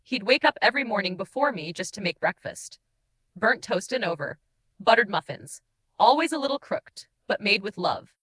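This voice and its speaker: A woman's voice: medium pitch, expressive, a bit bright, low-quality recording. A woman voicing a guilt-ridden, tender shapeshifting changeling who confesses in mournful, dramatic first-person audiobook narration. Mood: loving, sorrowful. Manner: emotive, mournful storytelling with dramatic pauses.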